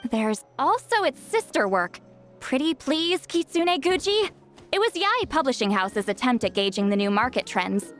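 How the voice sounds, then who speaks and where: High-voiced, haughty shaman. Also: big pitch swings, moderate pace, soft background music. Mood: nerdy enthusiasm. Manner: haughty, brusque, easily flustered. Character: proud, prickly, reclusive female great shaman, youthful-sounding, secretly geeky. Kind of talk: video game voice acting.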